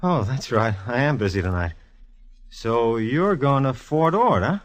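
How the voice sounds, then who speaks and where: Actor as deadpan, mid-pitched homicide inspector. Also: male voice, unhurried, natural voice. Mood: playful. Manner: deadpan, slangy banter. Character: smart, teasing male police homicide inspector. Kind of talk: 1940s radio detective acting.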